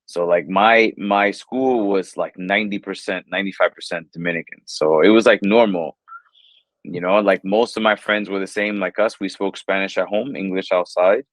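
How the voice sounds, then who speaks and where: A man's voice: low-pitched, normal speed, no room sound. A man in his thirties with a New York accent, an English teacher chatting casually in an interview, rambling with lots of fillers. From New York, USA. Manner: relaxed, rambling, lots of "like" and "you know".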